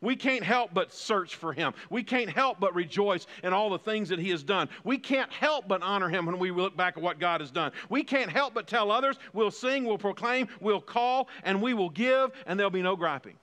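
A man's voice: high voice, expressive, normal speed, hardly any pauses, sharp tone, big-room sound. A man in his fifties preaching a sermon as pastor, building emphasis through repeated phrases. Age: 50s. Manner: preaching with rising emphatic repetition.

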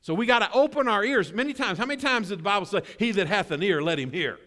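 A middle-aged man preaching a sermon in a church, conversational and animated, drawing responses from the congregation. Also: quick pace, in a large hall. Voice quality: bass voice. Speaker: pastor.

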